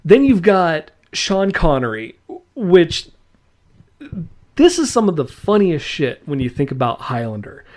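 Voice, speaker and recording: A man hosting a podcast, chatting casually and enthusiastically. He talks at an unhurried pace with a lively, bouncing pitch, rambling along and laughing often. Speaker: podcast host.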